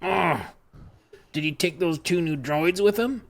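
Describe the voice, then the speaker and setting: A man playing a gruff, irritable farmer uncle in an amateur table read of a film script. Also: a little high, a little slow. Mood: grumpy.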